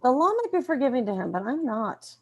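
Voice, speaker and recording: Woman playing a firm sitcom mom, highish animated voice. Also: a little fast, exaggerated voice. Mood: stern, wry. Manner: emphatic, wry. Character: firm, sardonic sitcom mother and wife. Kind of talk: comedy script table read.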